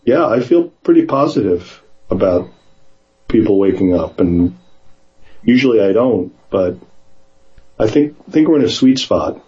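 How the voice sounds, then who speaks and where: A man in casual conversation, speaking in a hesitant, halting way, sometimes reading aloud. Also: on the low side, animated, warm tone, dull audio. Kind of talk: casual conversation with some read-aloud passages.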